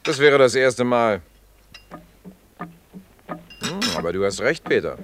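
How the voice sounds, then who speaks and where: A composed explorer in a radio drama. His voice sits at a medium pitch. He talks drily, with wry teasing. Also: sing-song, slow pace, very noisy. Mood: mock grumbling. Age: 30s. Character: dry, unruffled male explorer in his thirties. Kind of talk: radio play acting.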